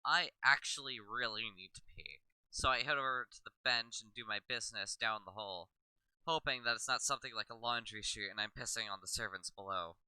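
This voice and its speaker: A man's voice: on the low side, a little fast, pushed and bright, smooth, no room sound, low-quality recording. A man reading first-person narration aloud from a visual novel, sometimes stumbling and rereading a line.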